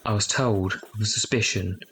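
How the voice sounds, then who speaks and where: A man playing a grown man recounting his youth as an audio drama narrator, measured and reflective. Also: lowish voice, steady tone, fairly bright tone, slight background noise, lightly acted. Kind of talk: dramatised first-person narration. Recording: faint music behind.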